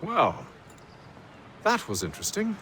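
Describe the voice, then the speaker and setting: Self-assured gentleman with lively intonation. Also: high-pitched, measured pace, a little hiss or hum, heavily acted. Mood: guarded. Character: reserved, self-assured gentleman leader of a secret order. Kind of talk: video game character acting.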